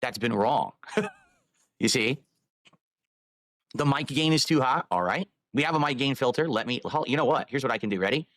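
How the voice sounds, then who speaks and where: A man's voice: mid-range voice, lively intonation, normal speed, long pauses, fairly bright tone, no room sound, clean studio sound. A man live-streaming, rambling while he fiddles with his microphone and audio settings.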